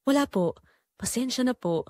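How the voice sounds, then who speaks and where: A woman's voice: fairly high pitch, expressive, normal speed, mellow, no echo, studio quality, pitched above the performer's own voice, close to an everyday voice. A woman voicing an ashamed, defeated husband. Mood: embarrassed. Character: weak, ashamed, apologetic adult husband.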